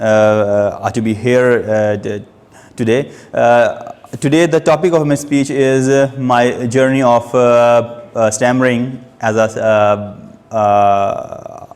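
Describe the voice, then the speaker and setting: Young man, stammering speaker, slow and halting, hall reverb. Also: mid-range voice, darkish tone. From Pakistan. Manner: stammers, with frequent hesitations and repeated sounds. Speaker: club member giving a speech. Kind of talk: prepared personal speech.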